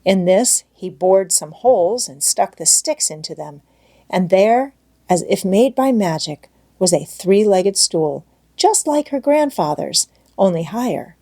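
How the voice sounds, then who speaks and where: A woman's voice: medium pitch, animated, measured pace, mellow, slightly rough. A woman reading scripted storybook narration as an audiobook narrator.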